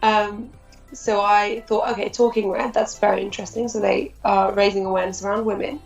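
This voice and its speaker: A woman speaks in a flat, gravelly tone, relaxed and chatty. She sounds a little distant from the mic, and the recording is dull and echoing, with faint music behind her. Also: medium pitch, fairly quick. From Turkey. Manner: relaxed, chatty, says "you know" a lot. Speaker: committee member. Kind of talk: interview answers.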